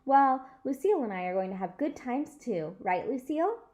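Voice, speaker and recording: A woman's voice: sits high, big pitch swings, moderate pace, darkish tone, pitched above the performer's own voice. A woman voicing a smug, rivalrous little schoolgirl in a storybook, with a boastful manner.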